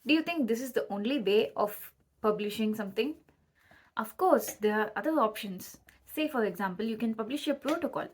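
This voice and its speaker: A woman's voice: a little high, expressive, fairly quick, breathy edge. A young woman, a native Tamil speaker with a Tamil accent, presenting an educational explainer step by step as a student addressing her viewers. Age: young adult.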